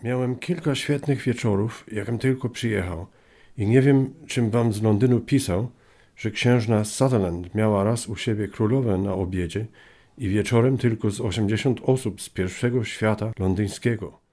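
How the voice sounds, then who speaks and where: A man's voice: on the low side, fairly quick, dark tone, close to an everyday voice. A man playing a Polish composer reading out his letters in a radio play. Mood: composed.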